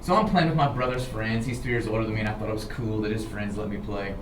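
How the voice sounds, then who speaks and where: Man, American accent, animated storyteller, informal and dramatic, echoey room, distant microphone. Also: lowish voice, quick pace. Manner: animated, dramatic, repeats "okay" to check in. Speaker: storyteller, show host. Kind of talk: informal personal storytelling to listeners. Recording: roomy, distant, clearly noisy recording.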